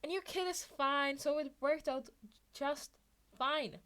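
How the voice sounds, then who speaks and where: A woman voicing a loud, playful, teasing male hero in a fan fiction reading. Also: high-pitched, slow pace, pitched above the performer's own voice. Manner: bold, exclaiming, teasing.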